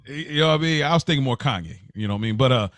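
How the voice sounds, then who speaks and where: A man's voice: medium pitch, lively intonation, racing, fairly bright tone, no room sound. American man chatting casually on a podcast. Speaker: podcast co-host. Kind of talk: casual unscripted podcast chat.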